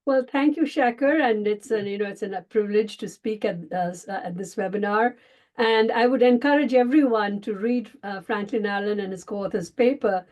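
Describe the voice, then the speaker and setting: A woman's voice: medium pitch, moderate pace, darkish tone. A woman giving fluent, gracious academic panel remarks over a video call.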